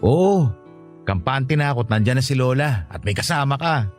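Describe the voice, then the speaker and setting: A man's voice: medium pitch, expressive, moderate pace, music in the background, lightly acted. A man acting as an earnest, devoted grandson in a radio drama, questioning and emotional. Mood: affectionate.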